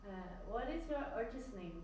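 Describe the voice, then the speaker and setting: A woman's voice: sits high, expressive, fairly quick. A woman interviewer asks brief, plain questions off to the side. Her voice sounds roomy, with a faint hum of ambience behind it. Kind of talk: asking short interview questions. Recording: roomy with faint background noise.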